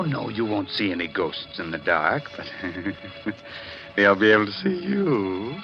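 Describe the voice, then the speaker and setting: Macabre radio host, unhurried, teasing, jovial, dull 1940s recording. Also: male voice, low voice, heavily acted. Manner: mock-polite, teasing, chuckling. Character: ghoulish horror host, slyly jovial, macabre. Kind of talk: 1940s radio horror hosting.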